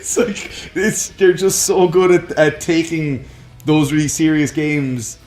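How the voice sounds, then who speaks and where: A man's voice: a little high, slow pace, darkish tone, roomy sound, soft background music. A man chatting casually and enthusiastically as a podcast host, opinionated and free with swearing. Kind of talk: casual chat about games and films.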